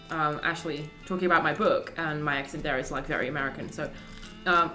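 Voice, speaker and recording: A woman's voice: low-pitched, a bit bright. A Belgian woman with a British-leaning accent, chatting and rambling casually while streaming a game. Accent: Belgian English, leaning British. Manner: chatty and rambling, laughs between thoughts. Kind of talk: casual let's-play chat. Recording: roomy echo.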